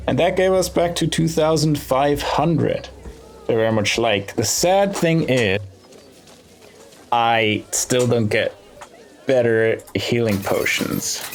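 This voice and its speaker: A man's voice: medium pitch, a little hiss or hum. A man giving casual playthrough commentary on a game, thinking aloud as he decides, with game music behind him. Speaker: gaming video host.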